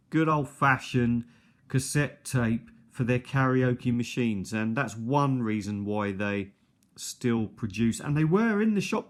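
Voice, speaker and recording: A middle-aged man giving an unscripted, casual show-and-tell in a hesitant, rambling, conversational way. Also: mid-range voice. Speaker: video host. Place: indoors at a kitchen table. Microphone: handheld or nearby tablet's built-in mic.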